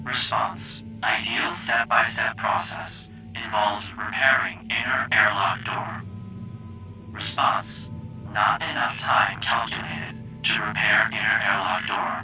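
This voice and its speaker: A man playing an emotionless male spaceship computer, reporting in halting, clipped, robotic phrases. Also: on the low side, little pitch movement, music in the background, low-quality recording. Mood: calm, procedural. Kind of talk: sci-fi audio drama acting.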